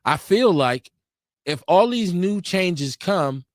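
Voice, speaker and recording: A man's voice: sits high, animated, slow, no echo, clean studio sound. A middle-aged American man giving opinions in casual discussion, emphatic and repeating phrases to drive points home. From United States.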